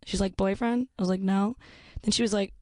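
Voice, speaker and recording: A woman in her twenties with a low voice, hosting a casual podcast chat, self-deprecating and laughing often as she talks. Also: steady tone, fairly quick, darkish tone, dry recording, clean studio sound. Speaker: podcast host.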